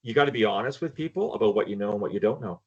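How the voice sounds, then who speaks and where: This older man talks in a low register, frank and emphatic, often doubling his words as he starts a point. His audio comes through dull and lo-fi. He speaks as a guest in a relaxed online panel. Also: rapid-fire. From Canada. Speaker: guest, former intelligence analyst. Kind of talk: casual panel discussion.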